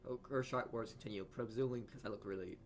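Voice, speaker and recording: A man reading a visual novel aloud as a let's-player, stumbling over lines and adding his own asides. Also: mid-range voice. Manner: halting reading with frequent stumbles. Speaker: video game let's-player.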